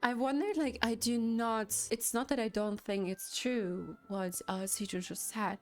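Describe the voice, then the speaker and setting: Slow-paced woman reacting casually. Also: a little high, steady tone, darkish tone, soft background music. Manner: halting, musing, repeats "like". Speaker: reaction video host. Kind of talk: casual game reaction commentary.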